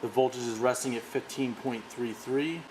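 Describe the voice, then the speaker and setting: A man's voice: mid-range voice, steady tone, normal speed, a little mellow, a little hiss or hum, low-quality recording. A man giving an informal technical product demonstration. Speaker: product demonstrator for an audio brand.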